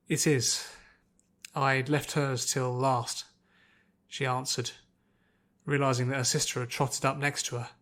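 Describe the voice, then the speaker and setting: Measured man narrating fiction, medium pitch. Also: fairly bright tone. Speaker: audiobook narrator.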